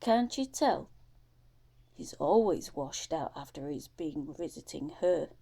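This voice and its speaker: A woman voicing a stern, gruff father in an audiobook story, speaking emphatically and scolding. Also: deep voice, animated, takes it slowly, dark tone, heavily acted. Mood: disapproving. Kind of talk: acted audiobook dialogue.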